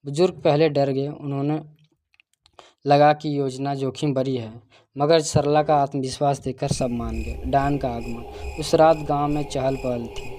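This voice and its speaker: A man's voice: mid-range voice, steady tone, a little slow, mellow, slight background noise. A man narrating a scripted cartoon folk tale as storyteller.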